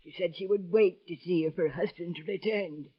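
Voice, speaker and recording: A woman's voice: fairly low pitch, normal speed, husky. An actress playing a gleeful, greedy, wizened old woman desk clerk in an old-time radio drama, with florid, theatrical phrasing. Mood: sly, haggling. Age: older. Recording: dull, lo-fi old radio recording.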